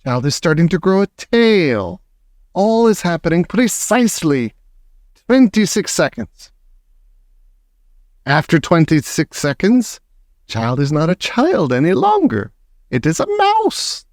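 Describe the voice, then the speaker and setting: A gloating old witch queen, put on by a man reading aloud, barks orders in broken, clipped grammar. Her voice climbs high and swings wildly as she slowly savors every cruel detail. The sound is dry and close. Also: huge pitch swings, no room sound, full character voice. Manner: broken grammar, shouting triumphantly. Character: gloating old female witch leader, screeching.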